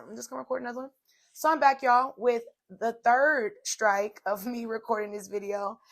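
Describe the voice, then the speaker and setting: Slightly hoarse, chatty woman host. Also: on the high side. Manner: chatty, direct to viewers, repeats "okay" and "y'all". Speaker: podcast host. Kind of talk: inspirational solo talk. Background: soft music playing.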